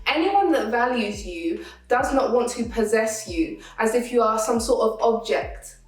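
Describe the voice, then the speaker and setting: A woman host speaks earnestly to her viewers, offering advice in a fairly high voice. She sits back from the mic in an echoey room. Soft music plays faintly behind her. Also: expressive, unhurried, recorded from a distance. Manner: earnest, advising tone. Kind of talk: reflective commentary to viewers.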